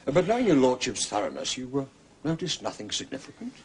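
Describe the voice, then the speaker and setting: A man's voice: mid-range voice, wildly swooping pitch, fast talker, darkish tone, slightly rough, full character voice. A man playing a discreet, unflappable manservant, formal and tactful, in a radio drama. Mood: deferential.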